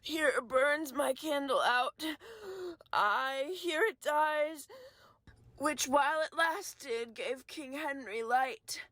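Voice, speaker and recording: A woman plays a dying, defiant medieval lord in Shakespearean audio drama. The voice is high and very slow, labored and tearful, recorded close and dry. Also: fairly bright tone, dry recording. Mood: fading, bitter.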